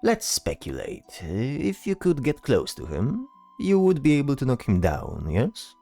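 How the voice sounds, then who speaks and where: A man voicing a polite, hesitant bard in a fantasy audio drama, speaking in a halting, showy, courteous way. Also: medium pitch, wildly swooping pitch, gravelly, dark tone, faint music behind, pitched above the performer's own voice, heavily acted. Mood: sly, suggesting. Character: chatty, polite, hesitant male bard spellcaster.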